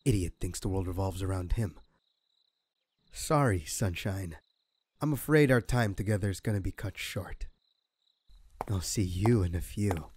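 A man playing a sadistic crime boss in an audio roleplay, taunting a captive with slow, mocking menace. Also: sits low, darkish tone, breathy edge. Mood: smug taunting. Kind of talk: ASMR audio roleplay acting.

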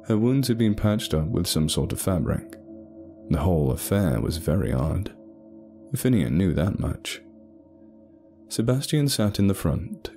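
A man's voice: low voice, dark tone. A man narrating a scripted horror story, with faint music behind him.

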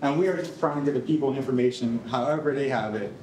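A young man hosting a live event, talking to an audience in an animated, conversational and inviting way. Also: medium pitch, fast talker, background noise. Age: young adult. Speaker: event host. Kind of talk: informal live introduction to an audience. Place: indoor rehearsal hall in front of an audience. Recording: echoey room with audience reactions.

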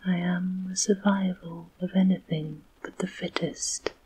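A woman voicing a self-pitying, tragic old male dragon in a slow, breathy whisper in a storybook reading. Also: lowish voice, steady tone, dark tone, slight background noise, close to an everyday voice, low-quality recording.

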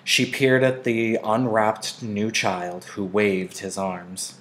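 A man's voice: lowish voice, flat delivery, takes it slowly, a bit bright. A man reading a novel aloud as a scripted audiobook-style narration, like a teacher reading to a class.

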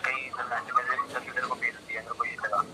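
A man talking casually as a friend on a phone call, heard through a loudspeaker. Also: medium pitch, level tone, quick pace, noisy background. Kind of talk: casual chat.

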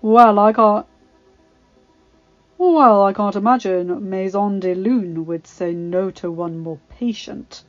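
A menacing, hulking young man from a storybook, acted by a woman narrator. He is smug and rough-voiced, drawing his words out to taunt. The audio is a little muffled, with music underneath. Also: mid-range voice, no room sound, natural voice. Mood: smug menace. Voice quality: rough, rasping. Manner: slow, drawn-out, taunting. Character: menacing, huge twenty-year-old man, smug village bully.

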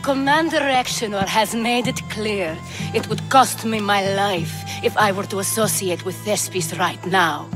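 A woman doing a flirty courtesan, dry wit, slow, music under. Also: medium pitch, natural voice. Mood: teasing. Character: worldly, teasing female courtesan.

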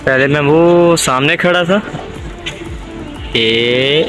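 A young man chatting excitedly to viewers as he walks through a city plaza and mall, recorded on a handheld phone at arm's length. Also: on the high side, very slow, faint music behind. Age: young adult. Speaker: travel vlogger. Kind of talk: casual walking tour vlog.

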